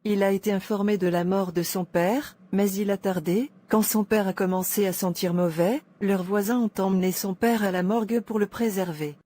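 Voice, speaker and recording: Mellow-voiced woman narrating a moral tale. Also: mid-range voice, fairly even intonation, normal speed, hardly any pauses. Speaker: story narrator.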